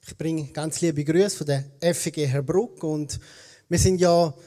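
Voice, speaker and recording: A man's voice: on the high side, fairly even intonation, a little slow, mellow. A Swiss German man with a Swiss accent, preaching a sermon as a guest pastor in standard German, telling stories conversationally and correcting himself as he goes. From eastern Switzerland. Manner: conversational storytelling, self-correcting.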